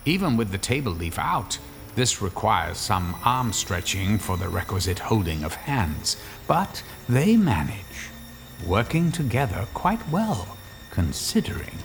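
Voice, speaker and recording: A man's voice: lowish voice, sing-song, unhurried, creaky, slight background noise, exaggerated voice. A man playing the wry, ominous narrator of a scripted radio horror drama. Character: wry, ominous male narrator of a ghost story.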